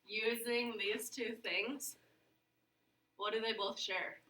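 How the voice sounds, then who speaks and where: Woman teacher, bright higher voice, echoey classroom from a bit far off, keeps asking the class stuff. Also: steady tone, slow. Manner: upbeat, coaxing students with questions. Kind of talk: classroom geometry lesson. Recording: echoey classroom, mic at a distance.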